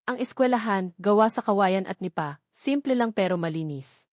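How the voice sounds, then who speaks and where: A woman's voice: mid-range voice, expressive, normal speed, darkish tone, no echo. Filipino woman narrating, close and dry. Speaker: audiobook narrator. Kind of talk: scripted romantic story narration.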